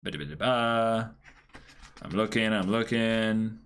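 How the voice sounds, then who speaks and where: A man hosting a livestreamed reading, chatting casually and exclaiming in an animated way. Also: lowish voice, measured pace, clean tone. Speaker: host of a livestreamed manga dramatic reading. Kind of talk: casual live stream chat with comic asides.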